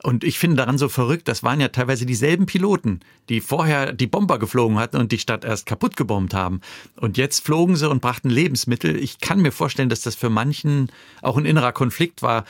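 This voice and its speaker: Gravelly-voiced man, thoughtful explainer. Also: medium pitch, expressive, brisk, no echo, studio quality. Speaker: novelist. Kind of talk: conversational interview.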